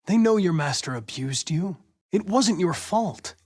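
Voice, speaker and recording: Young android detective for a game, higher voice that moves around a lot, very formal and precise. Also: male voice, animated, a little mellow, breathy edge, exaggerated voice. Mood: soft, sympathetic. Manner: formal, precise, measured phrasing. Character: polite, coolly logical young male android detective. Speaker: police investigator android. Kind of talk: video game voice acting.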